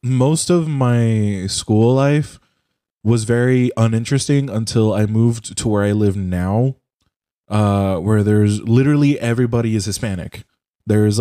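A man's voice: medium pitch, mellow, dry recording, clean studio sound. A young man from Puerto Rico whose first language is Spanish, chatting casually and profanely over a voice call, rambling with lots of "bro" and "like". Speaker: podcast host. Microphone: headset or desk mic on a voice call.

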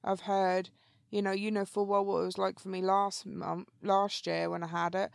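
A woman's voice: mid-range voice, flat delivery, moderate pace, gravelly, no room sound, clean studio sound. A woman co-hosting a podcast, chatty and rambling, her voice phlegmy and congested. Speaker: podcast co-host. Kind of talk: casual podcast chat.

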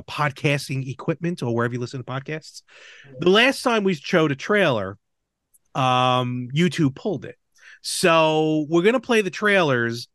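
A middle-aged man hosts a casual podcast chat, wandering through asides. His voice is very animated and a touch high. It is recorded close and dry, with almost no room sound. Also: no echo. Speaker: podcast host.

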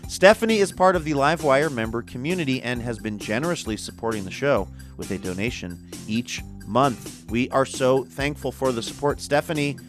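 An American man hosts a radio show in a medium-pitched voice. He moves between announcements and conversational interview talk, with some music around his voice. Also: moderate pace, hardly any pauses.

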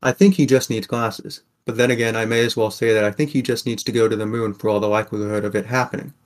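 A man's voice: fairly low pitch, fairly even intonation, fast, natural voice. A man playing a wry, protective sixteen-year-old boy from a poor district, narrating a scripted audio drama in the first person. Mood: wry, weary.

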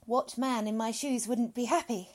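A woman's voice: a little high, fairly even intonation, normal speed, pitched above the performer's own voice, natural voice. A woman voicing a weary, sullen expectant father with a terse, bitter manner in a story reading. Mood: bitterly sarcastic. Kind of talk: short story dramatic reading.

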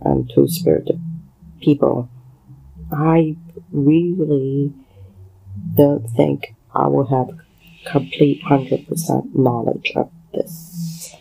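Slow, gravelly man from Hong Kong. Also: mid-range voice, fairly even intonation, mellow, rough recording. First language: Cantonese. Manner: halting, many fillers and pauses. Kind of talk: solo spoken commentary.

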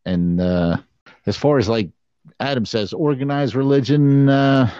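A man from the Philadelphia area, easing through his stories with frequent chuckles. His voice sits at an everyday pitch, and the recording is muffled and lo-fi. The sound is dry, with no room echo. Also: a little slow, mellow, clean tone. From Philadelphia area, USA. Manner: laid-back storytelling, chuckles often. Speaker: podcast co-host. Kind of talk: casual chat.

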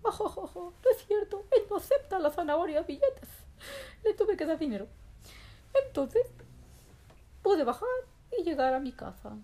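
A woman voicing an excitable, childlike little boy rabbit who tells a children's bedtime story with wide-eyed exclamations and drawn-out words. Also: very high voice, full character voice. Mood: happy, affectionate.

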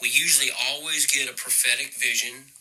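A man giving informal, unscripted religious teaching as a ministry leader, explaining earnestly in halting phrases. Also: medium pitch, fairly even intonation, sharp tone, poor audio.

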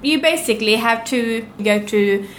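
A woman's voice: a little high, moderate pace. A woman from Norway answering interview questions conversationally, in a plain-spoken, encouraging way. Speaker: podcast guest, business owner. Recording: clearly noisy background.

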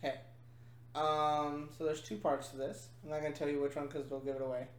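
A woman's voice: deep voice, flat delivery, a little fast. Rambling woman in an echoey room. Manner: rambling, filler-heavy. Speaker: podcast co-host. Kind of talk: casual chat. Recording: echoey room with faint noise.

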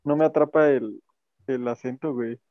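Mexican dude on a podcast, defensive, lots of slang, muffled lo-fi mic. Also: male voice, medium pitch, steady tone, moderate pace, no room sound. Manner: defensive, slangy, laughs at himself. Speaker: podcast co-host. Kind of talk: casual chat. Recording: dull lo-fi sound.

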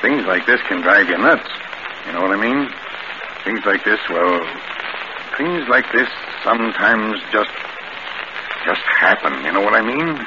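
Low-voiced, gruff police sergeant. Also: male voice, lively intonation, a crowd in the background. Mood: uneasy reassuring. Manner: brisk, plain-spoken, colloquial. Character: gruff, matter-of-fact male police sergeant. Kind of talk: 1940s radio drama acting. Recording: noisy, dull old radio broadcast recording.

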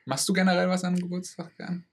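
A man in his thirties co-hosting a podcast, teasing his partner in quick casual banter. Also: sits high, monotone, husky. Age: 30s. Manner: teasing, quick back-and-forth. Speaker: podcast co-host.

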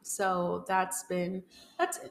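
A woman's voice: on the low side, monotone, very slow, gravelly, a little hiss or hum. A young woman, speaking casually and tentatively as a podcast guest, thinking as she goes. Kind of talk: casual personal conversation.

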